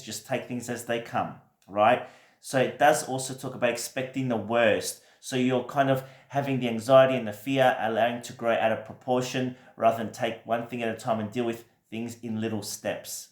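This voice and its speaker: A man with a croaky voice from a recent cold, giving a gentle, reassuring weekly tarot reading with many fillers. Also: mid-range voice, reverberant. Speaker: tarot reader.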